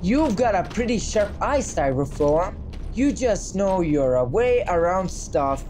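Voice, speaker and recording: Woman as a slow, expressive, earnest cartoon sidekick. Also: medium pitch. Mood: admiring. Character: doubtful but sweetly admiring cartoon packet character. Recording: clear background noise.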